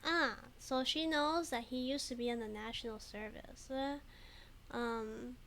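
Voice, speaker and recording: Woman, high voice, slow giggly game commentary, hesitant. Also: steady tone, fairly bright tone, slight background noise. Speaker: game streamer. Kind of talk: casual let's play commentary.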